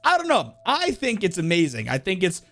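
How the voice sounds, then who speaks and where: A man's voice: high voice, huge pitch swings, brisk, fairly bright tone. A man hosting a video game ranking with casual, enthusiastic, rambling commentary full of slang. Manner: rambling, uses lots of slang. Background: faint music.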